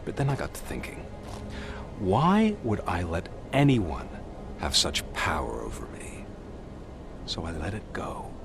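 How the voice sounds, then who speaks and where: A man voicing a cold, taunting crime boss in a game, deliberate and menacing, slipping into breathy whispers over noisy ambience. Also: low voice, huge pitch swings, music in the background, heavily acted. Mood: cold, persuasive.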